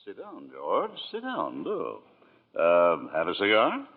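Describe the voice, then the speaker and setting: A scheming old banker. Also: male voice, lowish voice, huge pitch swings, slow pace, exaggerated voice. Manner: smooth, condescending, persuasive. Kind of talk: 1940s radio acting. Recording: tinny old radio broadcast.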